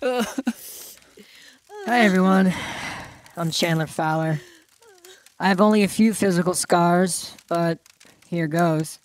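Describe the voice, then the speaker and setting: A woman's voice: fairly low pitch, takes it slowly, long pauses. A woman playing a modest teenage football kicker nervously opening up in a dramatized audiobook. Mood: hesitant.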